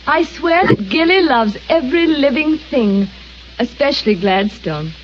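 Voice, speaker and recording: A woman's voice: high-pitched, expressive, measured pace. An actress playing a spirited young woman who speaks frankly and directly, on an old radio recording. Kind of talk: vintage radio acting. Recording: dull old radio recording, faint hiss.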